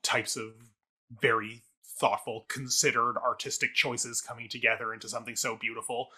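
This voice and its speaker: A man's voice: mid-range voice, level tone, normal speed, sharp tone, husky. A man co-hosting a theatre review podcast, talking casually and thinking aloud in long, winding sentences.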